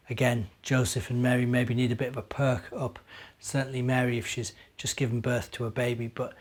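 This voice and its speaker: A middle-aged man, a minister, talking and reading to young children in an expressive, simple storytelling way. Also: mid-range voice. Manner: expressive, simple child-directed delivery with rhetorical questions. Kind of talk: children's storytelling and gentle chat.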